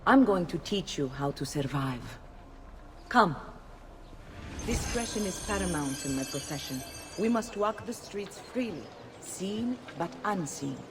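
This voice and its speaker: An actress playing a composed, worldly madam and mentor in a video game, with an Italian accent. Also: female voice, on the low side, expressive, measured pace, background noise. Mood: calm, firm. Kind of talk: video game cutscene dialogue. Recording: music behind the voice.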